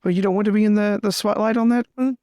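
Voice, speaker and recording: A man's voice: high-pitched, fairly even intonation, rapid-fire, warm tone, no room sound, close to an everyday voice. A man voicing a fussy, vain young aristocrat in tabletop roleplay, stammering, flustered and theatrically exasperated. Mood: coaxing.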